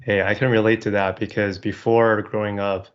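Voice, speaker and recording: A man hosting a podcast, asking casual interview questions in a relaxed way with small restarts. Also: fairly low pitch, moderate pace. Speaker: podcast host. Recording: slightly dull lo-fi audio.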